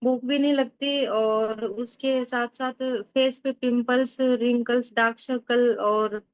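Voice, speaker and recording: A woman in her twenties answers a doctor's questions politely, a bit hesitantly, and lists her symptoms one by one. Her voice is high and smooth. The call audio is dry and muffled. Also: steady tone, slow, no room sound. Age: 20s. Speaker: patient, recent Ayurveda graduate. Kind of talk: casual consultation answers. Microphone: video call.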